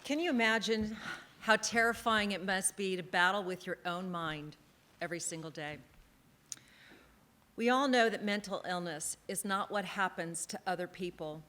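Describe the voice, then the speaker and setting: A woman's voice: mid-range voice, moderate pace, sharp tone. A woman on the town council delivering an earnest, emphatic prepared speech from a theatre stage at an awards ceremony.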